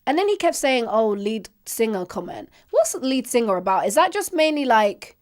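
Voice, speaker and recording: A woman's voice: on the high side, big pitch swings, normal speed. A woman co-hosting a podcast, recapping in an informal, opinionated way. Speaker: podcast co-host. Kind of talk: casual chat.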